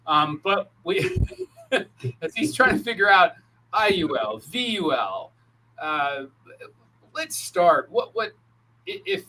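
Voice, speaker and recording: A male podcast host chats casually with his guest. His voice is bright and forward, sitting a little higher than most men's. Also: rough recording. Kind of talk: casual interview chat.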